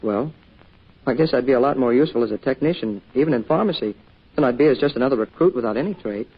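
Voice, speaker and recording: An actor playing an eager, earnest young American son in an old-time radio drama, boyish and a little bashful, with a stammering manner. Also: male voice, medium pitch, expressive, fast talker, husky. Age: young adult. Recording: dull, hissy old radio recording.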